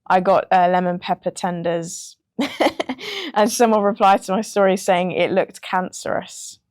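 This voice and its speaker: A woman's voice: lowish voice, a little slow, dry recording, clean studio sound. A young British woman, a music producer, chatting casually in an interview with plenty of ums. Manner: relaxed, chatty, frequent ums and laughs.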